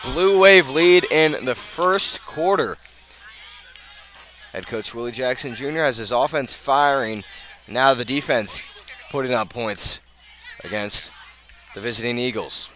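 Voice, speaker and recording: An American man working as a radio play-by-play announcer, commentating live on a football game, measured between plays and swelling with the action. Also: medium pitch, pushed and bright, faint music behind.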